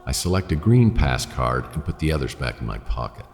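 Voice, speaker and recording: A hard-boiled detective narrating a suspenseful radio drama in the first person. His voice is extremely deep and heavy with gravelly fry. The delivery is measured and brooding, ringing in a long reverb over a music bed. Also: big pitch swings, a little mellow, exaggerated voice. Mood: tense, detached.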